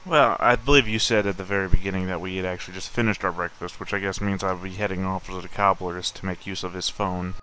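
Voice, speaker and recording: A man's voice: low-pitched, steady tone, fast, hardly any pauses, bright, forceful tone, a little hiss or hum, low-quality recording. A man playing a tabletop horror game, speaking in a dry, matter-of-fact way. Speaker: player. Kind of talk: in-character tabletop play.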